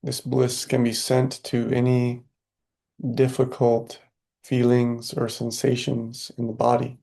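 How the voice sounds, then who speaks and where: A man guides a meditation with a very flat, barely moving pitch and a creaky edge to his voice. The tone is dark and mellow. He is calm and soothing, leaving long silences between short phrases. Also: slow pace. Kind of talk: guided meditation, spoken softly with long pauses.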